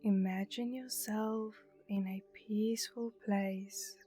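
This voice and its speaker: A woman narrating a guided meditation in a soothing, hushed manner with breathy phrase endings. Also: medium pitch, steady tone, slow. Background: meditation music.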